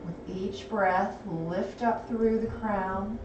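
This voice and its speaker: A woman teaching a gentle yoga class, calmly cueing breath and movement, her voice a little gravelly and hoarse. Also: medium pitch, slow pace, dull audio. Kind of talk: gentle guided yoga instruction. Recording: roomy, distant, music behind.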